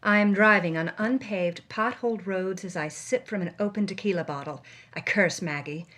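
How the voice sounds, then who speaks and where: A woman's voice: medium pitch, moderate pace, fairly bright tone. A woman co-host giving a dramatic, scripted reading and narration. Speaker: co-host and reader.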